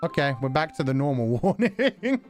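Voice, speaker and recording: Animated, wry male livestreamer. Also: a little high, moderate pace, soft background music. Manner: wry, sarcastic, swears casually. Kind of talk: casual livestream chat with viewers.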